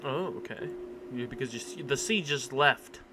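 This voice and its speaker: A man's voice: normal speed, sharp tone. A man streaming a game with casual, wry reaction commentary, muttering short interjections and swearing freely. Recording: very noisy, constant background rumble.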